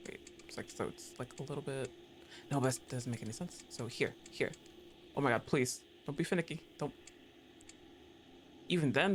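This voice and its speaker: A man streaming a game live, reacting loudly, swearing, laughing often and talking to the game. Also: on the high side, slight background noise. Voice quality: excitable, profane, quick to laugh, talks to the game. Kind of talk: live gameplay commentary.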